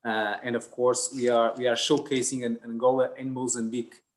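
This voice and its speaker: A man moderates an online conference panel, his pitch sitting in an ordinary middle range. He talks in a halting way, full of fillers and restarts as he works toward each point. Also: flat delivery.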